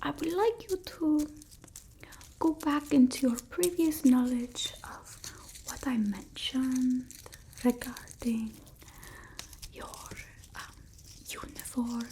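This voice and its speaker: A woman's voice: sits high, steady tone, takes it slowly, long pauses, warm tone, slight background noise, poor audio. A woman voicing a haughty, fussy but doting vampire mistress in a whispered ASMR roleplay, with a put-on Eastern European accent and an imperious, teasing murmur. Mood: instructing, fussy.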